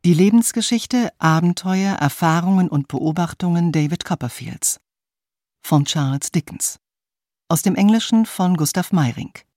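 Radio drama announcer: scripted, formal credit read, mid pitch, dry close sound. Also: lively intonation, measured pace, darkish tone, no echo.

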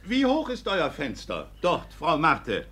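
An actor playing a stern, high-ranking court inspector who questions people in a formal, commanding way in a classic radio play. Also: male voice, huge pitch swings, slow pace, sharp tone, a little hiss or hum, heavily acted. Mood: probing, stern. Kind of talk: classic stage comedy radio play acting.

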